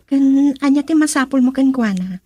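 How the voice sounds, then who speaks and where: An actress playing an outspoken, emotional wife and daughter in a radio drama, husky and insistent. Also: female voice, high-pitched, expressive, normal speed, mellow, no echo. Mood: suspicious. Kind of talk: Ilocano radio drama acting.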